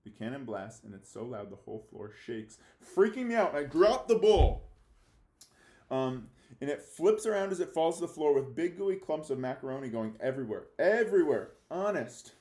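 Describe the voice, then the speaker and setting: A man's voice: on the high side, very animated, moderate pace, darkish tone. Man reading as an anxious boy in an echoey room. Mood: startled, panicked. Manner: confessional first-person storytelling with emphatic, childlike phrasing. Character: anxious, defiant young boy left home alone, narrating. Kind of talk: read-aloud of a children's novel.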